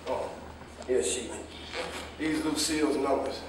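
A man's voice: medium pitch, fairly even intonation, slow, lightly acted. A man playing a no-nonsense, curt American boss of a cab station in a staged play reading. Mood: preoccupied, gruff. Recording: echoey stage, distant mic, faint noise.

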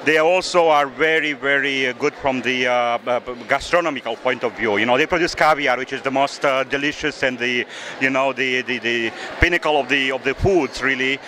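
A man in his forties from Romania with a Romanian accent, talking in a casual interview at a festival booth, halting with many fillers and repeated words. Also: mid-range voice, sharp tone. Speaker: sturgeon farm owner. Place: indoor festival hall. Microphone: handheld mic held by interviewer. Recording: noisy festival hall.

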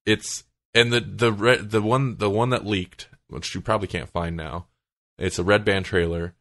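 Low-pitched man chatting casually. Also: steady tone, moderate pace, fairly bright tone. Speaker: podcast co-host. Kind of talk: casual chat about movies and comics.

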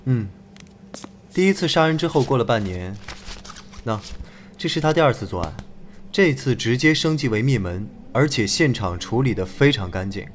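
A man playing a keen young investigator in an audio drama, brisk and conversational. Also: mid-range voice, very animated, a little hiss or hum, natural voice. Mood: focused, brisk. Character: keen young male investigator on a murder case. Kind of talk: Chinese audio drama acting.

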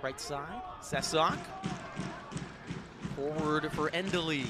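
A man's voice: a little high, takes it slowly, heavy background noise. A young man doing live play-by-play commentary of a soccer match as the announcer. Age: young adult.

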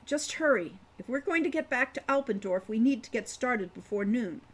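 A woman's voice: fairly high pitch, lively intonation, moderate pace, voice pushed up. A woman voicing a serious, reserved young man who speaks in clipped, restrained lines. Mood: impatient.

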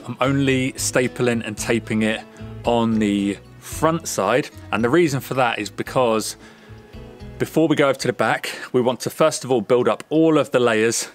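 A man in his thirties hosting a renovation vlog, explaining things casually to camera outdoors. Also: mid-range voice. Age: 30s. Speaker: vlog host renovating a house. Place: outdoors at a rural building site.

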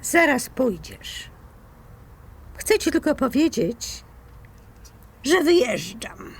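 A fed up suspicious wife type in a radio play, really high swoopy voice, sarcastic and cutting. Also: female voice, very animated, husky, heavily acted. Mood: hurt, resolute. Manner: sarcastic, cutting, drawn-out emphasis. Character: fed-up, suspicious woman confronting her unfaithful partner. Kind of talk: audio drama acting.

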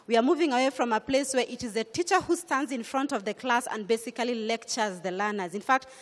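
A middle-aged woman with a Kenyan accent, speaking on a conference panel about curriculum changes in a measured, explanatory way, into a handheld mic. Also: on the high side. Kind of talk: panel discussion. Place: conference stage. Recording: hall reverb.

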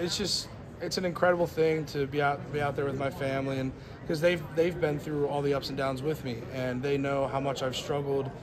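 Young college lineman, postgame scrum, earnest and halting, voice a touch high, busy room behind. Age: young adult. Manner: earnest, grateful, halting with fillers. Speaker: college football player. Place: crowded weight room indoors. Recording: crowd chatter behind.

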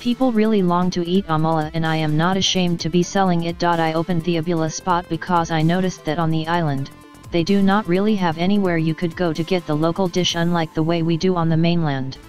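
A woman reading a celebrity news script in an even, flat manner. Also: on the low side, brisk, faint music behind. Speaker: news narrator.

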